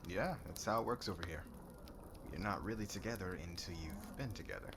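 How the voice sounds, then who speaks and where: Man acting a cocky low-voiced warrior suitor. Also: lively intonation, fairly quick, creaky, background noise. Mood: smug, flirtatious. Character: cocky, flirtatious warrior commander, crude suitor turning contrite. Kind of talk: ASMR roleplay acting.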